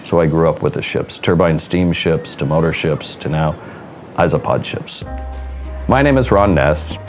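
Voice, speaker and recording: A man's voice: very deep voice, moderate pace. A middle-aged man with an American accent, speaking as a hotel director in interview soundbites for a promotional film. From Seattle, United States. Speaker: cruise ship hotel director. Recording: music behind the voice. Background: background music.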